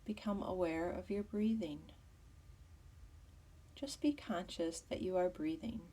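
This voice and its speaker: A woman teaching yoga nidra as a guided meditation, with a soft, breathy voice and soothing, measured instruction. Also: lowish voice, far from the mic.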